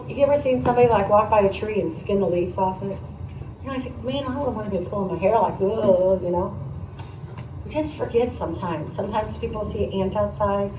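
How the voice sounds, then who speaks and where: Female teacher doing a conversational read-aloud, explanatory and questioning, recorded at a distance with some background noise. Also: mid-range voice. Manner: explanatory, prompting listeners with questions. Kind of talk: reading aloud to children with conversational asides. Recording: roomy, distant mic, noisy room.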